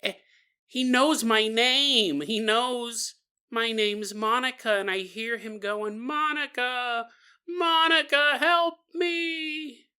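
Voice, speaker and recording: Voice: mid-range voice, takes it slowly, pushed and bright, clear, resonant tone, pitched above the performer's own voice. A storyteller voicing a terrified three-year-old girl who pleads insistently for help, repeating herself. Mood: desperate pleading.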